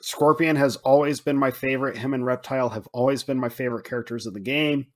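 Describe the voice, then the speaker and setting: A man's voice: mid-range voice, fairly even intonation, quick pace. A man co-hosting a film podcast, talking casually and swearing freely as he acts out his own reactions. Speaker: podcast co-host.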